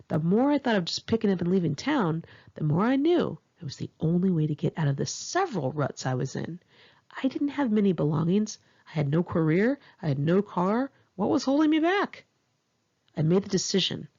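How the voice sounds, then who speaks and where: Low-pitched woman, Californian accent, husky, creaky, expressive memoir narration, slightly dull recording. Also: normal speed. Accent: Californian American. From Los Angeles area, California, United States. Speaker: author reading own memoir. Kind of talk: narrated memoir audiobook, one-take home reading.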